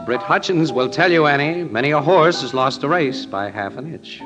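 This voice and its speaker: An American actor playing a breezy, wisecracking crime photographer in 1940s radio style, flippant and easygoing. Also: male voice, fairly high pitch, expressive, normal speed, natural voice. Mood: breezy, teasing. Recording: muffled old radio broadcast, music behind.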